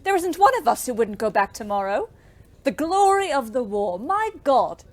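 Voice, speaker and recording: An actress playing a bitter, outspoken young ambulance driver in a wartime audio play, scathing and emphatic. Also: female voice, high-pitched, big pitch swings, normal speed. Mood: angry, scathing. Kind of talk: audio drama acting.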